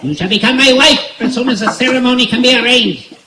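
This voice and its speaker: A man's voice: very high-pitched, fairly quick, crowd noise. A man playing a cruel, pompous alien emperor in a live old-time radio serial, commanding and coldly gloating. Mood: coldly menacing. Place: outdoor woodland stage at night. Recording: roomy outdoor live stage, noisy.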